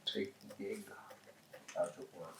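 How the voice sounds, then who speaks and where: A woman playing an evasive, weary male suspect in a soft-spoken ASMR roleplay, with a low gravelly voice and halting, guarded answers. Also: lightly acted. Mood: halting, reluctant. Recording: roomy echo, loud noise, clinking dishes.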